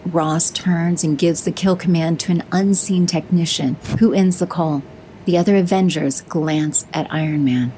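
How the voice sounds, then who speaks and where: A woman narrates an audio drama in a measured storytelling manner. Her voice is mellow and lowish, with a faint noise underneath. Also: fairly even intonation, low-quality recording.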